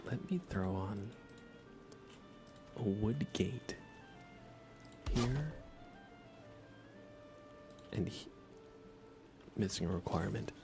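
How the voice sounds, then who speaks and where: A man streams a game as ASMR, speaking in a hushed voice that often slips into whispers. His tone sits low with plenty of fry, and he takes his time. Music plays clearly behind him. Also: lively intonation, extremely slow, long pauses. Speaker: live streamer, ASMR host. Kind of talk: whispered ASMR gaming commentary.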